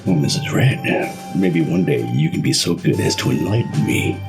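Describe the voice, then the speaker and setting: An actor playing a measured, smugly assured leader of a shadowy government committee in an audio drama. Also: male voice, deep voice, lively intonation, creaky, music in the background. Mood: suave, assured.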